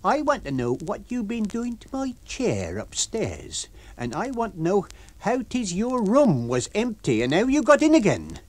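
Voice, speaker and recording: A shrill, indignant country landlady, put on by a male storyteller, scolding in thick rustic dialect. Her voice leaps high and swings widely as she rails. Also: big pitch swings, normal speed.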